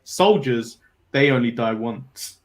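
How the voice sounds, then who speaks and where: A man's voice: medium pitch, animated, takes it slowly. A man co-hosting a casual wrestling podcast, recapping matches from notes with fillers and laughs. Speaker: podcast co-host. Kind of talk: casual wrestling review chat.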